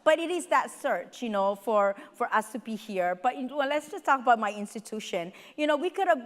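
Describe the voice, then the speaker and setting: A woman with a Samoan accent, whose first language is Samoan, giving a warm and humorous welcome speech as a faculty member at a conference. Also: on the high side, lively intonation. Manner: warm, heartfelt and humorous, with lists spoken slowly and deliberately. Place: large conference hall. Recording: hall with long reverb.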